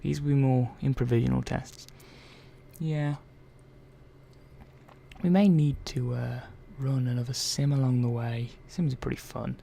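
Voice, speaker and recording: Man playing a soft, creaky-voiced robot technician. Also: medium pitch, steady tone. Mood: gently absorbed. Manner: unhurried, murmuring, thinking aloud with hesitant asides. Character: curious, gently chatty robot repair technician. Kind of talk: soft-spoken ASMR roleplay. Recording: faint background noise.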